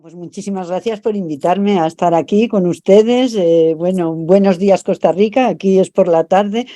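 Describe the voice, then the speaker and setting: A woman's voice: on the low side, normal speed, mellow, faint music behind. A middle-aged woman from Spain with a Spanish accent, a translator and professor speaking in an interview, thoughtful and often repeating words while she searches for her phrasing. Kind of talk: interview over video call.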